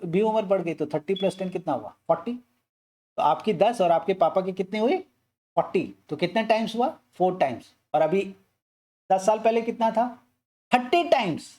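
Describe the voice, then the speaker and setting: A man's voice: high voice, a little mellow. Male maths teacher, step-by-step explanation, asks and answers own questions, Hindi mixed with English terms. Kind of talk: explaining a maths word problem on a board.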